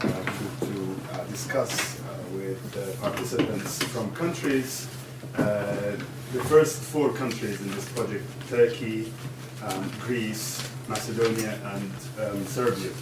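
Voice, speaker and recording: Halting man chairing a panel, echoey room. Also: on the low side. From Syria. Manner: halting, with many fillers and restarts. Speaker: panel moderator. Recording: echoey room from far off, background noise.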